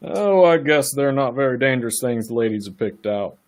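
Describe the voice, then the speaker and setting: A man acting a self-assured county attorney in a radio play, brisk and patronising in manner. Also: mid-range voice, animated, close to an everyday voice.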